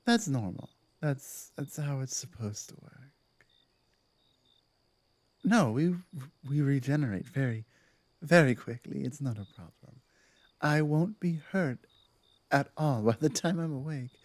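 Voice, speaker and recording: A woman playing a werewolf pack leader in an intimate ASMR roleplay, speaking haltingly and deliberately, dominant but softening and earnest. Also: rumbling low, lively intonation, no room sound, heavily acted. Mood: reassuring. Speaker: audio roleplay performer.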